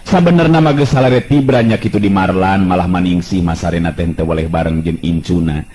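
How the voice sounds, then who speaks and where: A man with a Sundanese accent narrating a traditional folk tale in a calm, measured way. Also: sits low. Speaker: storyteller.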